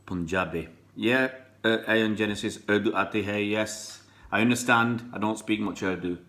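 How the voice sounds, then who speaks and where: Deep-voiced man chatting on a live stream. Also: steady tone, normal speed, a bit bright. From born in Pakistan, raised in England. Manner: chatty, greets viewers by name, rambling. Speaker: fan channel live streamer. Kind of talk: casual live-stream chat reading comments.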